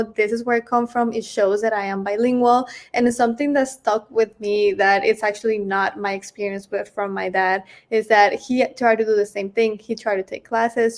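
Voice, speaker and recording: A woman who grew up partly in Mexico, speaking as a panelist in a chatty way with lots of "you know". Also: on the high side. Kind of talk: casual panel talk.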